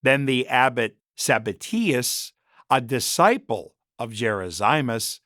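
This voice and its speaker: A man narrates a story at a slow, measured pace. His mid-pitched voice moves expressively up and down through the scripted lines, and the sound is dry, with very little room around it. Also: lively intonation, pushed and bright, dry recording.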